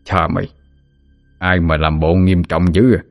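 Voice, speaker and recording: A man voicing a gruff but indulgent old grandfather who grumbles fondly in a dramatized story. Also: sits low, little pitch movement, unhurried, no echo, studio quality, soft background music. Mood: grumbling affection.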